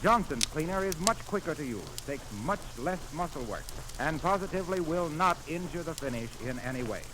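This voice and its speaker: A man's voice: fairly high pitch, lively intonation, fairly quick. A man announcing on 1930s radio, reading scripted copy in a polished, persuasive salesman's delivery. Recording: noisy vintage 1930s radio recording.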